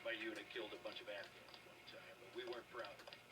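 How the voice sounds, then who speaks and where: A stern older army quartermaster in a video game, played by a man, with a low, brisk voice. He is principled and plain-spoken, and music plays behind him. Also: steady tone, recorded from a distance, natural voice. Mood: stern, reproachful.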